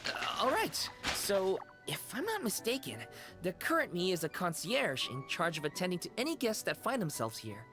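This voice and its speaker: An actress playing a sleepy, muddled young female concierge in a video game, polite and earnest, with dreamy, halting, theatrical storytelling. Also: fairly low pitch, big pitch swings. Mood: apologetic, polite. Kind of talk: scripted video game character dialogue. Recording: game music behind the voice.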